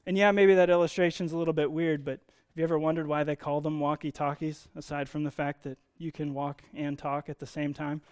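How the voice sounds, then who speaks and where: Man preaching, slightly high voice. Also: level tone, fairly quick, hardly any pauses, a little mellow, smooth. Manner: informal, explanatory, emphasizes key words. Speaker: preacher, Bible teacher. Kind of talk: sermon, conversational Bible teaching.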